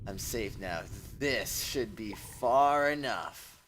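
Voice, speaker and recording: A man's voice: medium pitch, lively intonation, takes it slowly, heavy background noise. A man streaming a game, reading narration aloud dramatically and dropping in casual, joking commentary. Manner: dramatic read-aloud mixed with joking asides. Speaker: streamer playing a visual novel game. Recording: loud music behind the voice. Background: loud game music.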